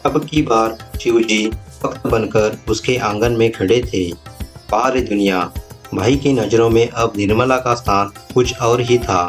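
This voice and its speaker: A man's voice: fairly low pitch, fairly even intonation, measured pace. A man narrating a scripted moral tale as a storyteller. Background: faint music.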